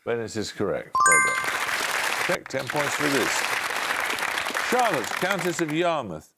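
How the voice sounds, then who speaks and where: Gravelly quiz host with a lively delivery. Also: male voice, mid-range voice, a loud crowd, heavy background noise. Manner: brisk, authoritative question reading. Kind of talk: reading quiz questions.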